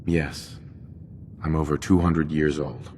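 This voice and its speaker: A man's voice: very deep voice, fairly even intonation, takes it slowly, a little mellow. An actor playing an earnest, somewhat bewildered male survivor in a video game, subdued in manner. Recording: reverberant, faint noise.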